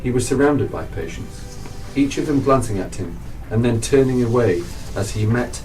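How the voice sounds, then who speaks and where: A man's voice: mid-range voice, animated, normal speed, darkish tone. A man narrating a scripted radio drama as storyteller. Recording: distant microphone under clear music.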